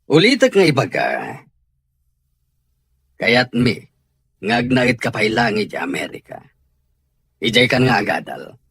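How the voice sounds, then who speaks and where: A man's voice: mid-range voice, very animated, unhurried, long pauses, a bit bright, husky, dry recording, studio quality, heavily acted. A man playing a stern, insistent father in an audio drama, firm and commanding, with an Ilocano Filipino accent.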